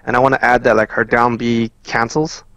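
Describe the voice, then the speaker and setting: A man's voice: medium pitch, level tone, a little fast, fairly bright tone. A man chatting casually as a guest on a gaming podcast, explaining moves in dense player jargon. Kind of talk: casual game analysis chat. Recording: dull lo-fi audio in a roomy space.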